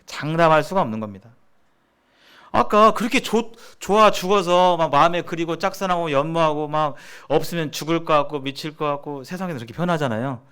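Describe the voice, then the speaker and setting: A man's voice: on the high side, a little fast, fairly bright tone, clear, resonant tone. Man giving a conversational church teaching. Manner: conversational and didactic, posing questions to listeners. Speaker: church seminar speaker. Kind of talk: sermon-like teaching to church members.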